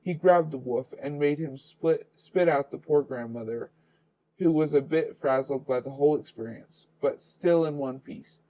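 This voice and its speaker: A man's voice: a little high, moderate pace, mellow, poor audio. A man reading a children's fairy tale aloud as the storyteller.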